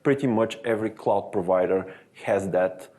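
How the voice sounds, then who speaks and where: A man with an Eastern European accent presents a technical webinar in a fairly low voice. He speaks haltingly, trailing off and correcting himself as he hunts for words, with the steady, explanatory manner of a software development manager. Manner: halting, searching for words, frequent self-corrections.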